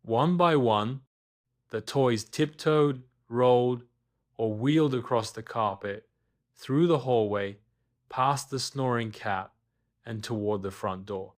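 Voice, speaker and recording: Male narrator, medium pitch, slow pace, children's bedtime story, expressive, deliberate pauses.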